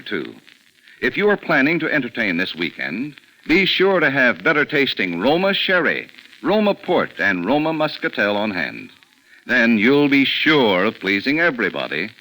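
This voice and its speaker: A man's voice: mid-range voice, pushed and bright, low-quality recording. A man reading a scripted sponsor's advertisement as a 1940s radio announcer. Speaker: commercial announcer.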